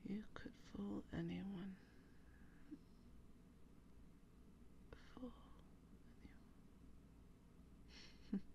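A woman playing a teasing, wistful female vampire in a breathy, deliberate ASMR role play. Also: mid-range voice, mellow, muffled recording, a little hiss or hum, close to an everyday voice. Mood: intimate, teasing. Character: female vampire, teasing, wistful, intimately affectionate theater haunter.

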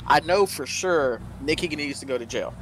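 A man's voice: fairly high pitch, expressive, moderate pace, fairly bright tone, slight background noise, low-quality recording. A man playing a police officer, talking casually in online roleplay voice chat.

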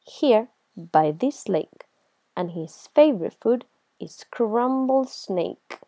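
Lady doing a little bluffing mouse from a kids' story, high and super swoopy, bold and cheeky. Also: animated, takes it slowly, dark tone, no room sound, heavily acted. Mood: confident bluffing. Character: small, clever, cheeky brown mouse, bluffing boldly. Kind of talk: children's storybook character voice.

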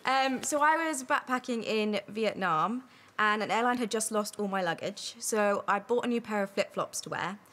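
A young woman recounts a travel mishap in a steady, unfussy way, her voice at an ordinary middle pitch. She sits in a TV studio. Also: moderate pace. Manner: steady, plain storytelling. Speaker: studio audience guest. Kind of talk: telling an anecdote.

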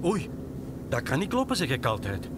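A man's voice: fairly high pitch, huge pitch swings, normal speed, very noisy. A man playing a pompous old fellow, spouting truisms in a comic-book story.

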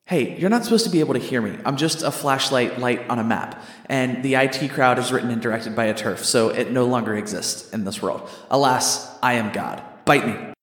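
A man's voice: brisk, fairly bright tone. A man playing a grumpy, bumbling God in a comic radio play, with a petulant, snippy manner and a reverb effect. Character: grumpy, bumbling, irritable God fiddling with gadgets. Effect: booming hall reverb. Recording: echoey reverberant hall effect.

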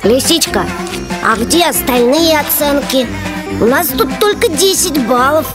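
A young cartoon bear cub, played by a woman, high-voiced and swinging widely in pitch. He is friendly, energetic and boyishly emphatic, with music playing behind him. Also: measured pace. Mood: puzzled, insistent. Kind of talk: children's cartoon dialogue.

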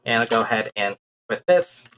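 A man's voice: medium pitch, lively intonation, moderate pace, a bit bright, a little hiss or hum. A man in his thirties teaching a programming lesson while sharing his screen, explaining step by step with frequent "uh" fillers, at a desk in a small room. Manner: explanatory, many "uh" fillers while working through code. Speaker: programming instructor. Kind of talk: screen-share coding lesson.

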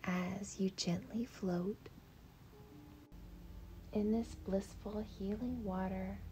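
A woman leading a guided meditation in a soft, breathy, half-whispered voice, gentle, soothing and unhurried. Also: mid-range voice, fairly even intonation, soft background music, poor audio.

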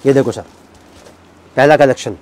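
A man giving an emphatic sales pitch as a wholesale manufacturer, counting and listing items. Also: normal speed, darkish tone. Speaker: wholesale suit manufacturer and seller. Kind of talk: sales pitch to camera in a shop vlog.

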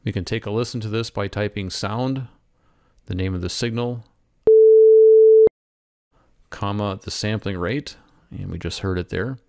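Low-voiced man narrating a software tutorial. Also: a little slow, vocal fry, pauses often, no room sound. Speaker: instructor. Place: close, dry voice-over for screen recording.

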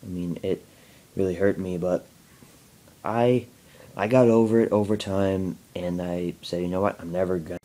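A young man talks casually at home, answering questions from his viewers. His voice sits on the low side, and he stutters, often breaking off and restarting his words between fillers. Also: steady tone, normal speed, mellow. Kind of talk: casual Q&A talk to camera.

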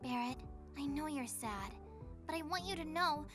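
A woman voicing a sweet, gently consoling young woman in a game parody, soft and soothing. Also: sits high, animated, normal speed, over music.

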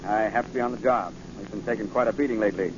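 An actor playing a gruff but good-natured American police commissioner in a 1940s radio drama, blustering along. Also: male voice, fairly low pitch, fairly even intonation, racing, natural voice. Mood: grumbling. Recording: muffled, noisy 1940s radio recording.

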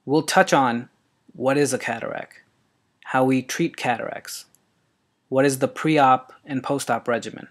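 A man from Maryland, an eye surgeon, reading his introduction and a presentation in an earnest, even way. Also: mid-range voice, measured pace. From Maryland, USA. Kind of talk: read-aloud self-introduction and presentation.

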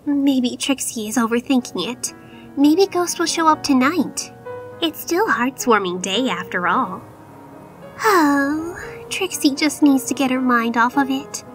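A vain young unicorn showgirl, acted by a woman in a cartoon dub. She speaks high and slowly, with haughty, melodramatic flair, over faint music. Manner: haughty, melodramatic, speaks of self in third person. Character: vain, theatrical young female unicorn pony magician. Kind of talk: cartoon comic dub acting.

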